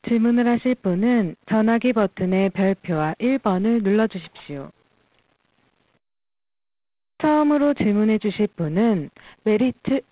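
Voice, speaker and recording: A woman's voice: medium pitch, no room sound. A woman acting as conference call operator, reading formal scripted announcements. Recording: dry muffled call audio.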